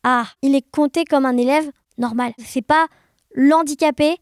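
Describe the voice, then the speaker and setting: A woman's voice: sits high, a little slow, no echo, studio quality. A woman chatting casually and expressively as an enthusiastic podcast guest. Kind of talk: casual conversation.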